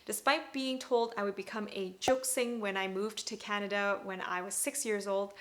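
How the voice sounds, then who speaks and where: A woman in her thirties whose first language is Cantonese speaks straight to her viewers. Her delivery is upbeat and clearly enunciated, like a scripted vlog talk. She sits right in the middle for pitch. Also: fairly even intonation, normal speed. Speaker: parenting vlogger.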